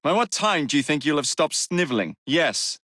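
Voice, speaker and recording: An actor with an English accent playing a cocky, roguish young Victorian gang leader in a video game, wry, brash and teasing. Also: male voice, on the high side, very animated, normal speed, pushed and bright, no echo, studio quality. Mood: firm, threatening. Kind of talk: dramatic video game dialogue.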